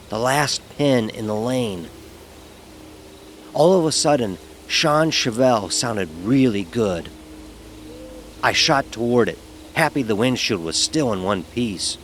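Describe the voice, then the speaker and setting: A man's voice: mid-range voice, a bit bright, a little hiss or hum. An actor playing a frightened, sarcastic young fraternity pledge who narrates his story in a wry, vivid, emotionally charged way. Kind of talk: first-person dramatic horror audio narration. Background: music.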